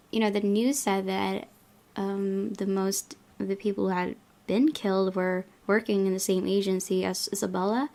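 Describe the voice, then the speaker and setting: A woman hosting a casual game playthrough, reading and commenting, thinking aloud in a halting way. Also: mid-range voice, darkish tone. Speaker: gaming video host.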